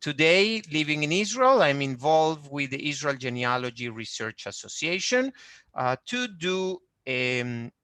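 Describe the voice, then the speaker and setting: Venezuelan-accented male lecturer, slow, bright and dry, plenty of uhs and ums. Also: a little high, no echo. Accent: Venezuelan Spanish. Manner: hesitant, many fillers, friendly explaining. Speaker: genealogist presenting a webinar. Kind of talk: online lecture with shared screen.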